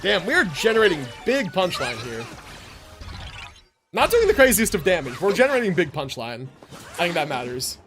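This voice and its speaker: A man livestreaming a video game with casual commentary, thinking out loud in slangy, sweary talk. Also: sits high, wildly swooping pitch, normal speed, pauses often, over music. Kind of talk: casual gaming stream commentary.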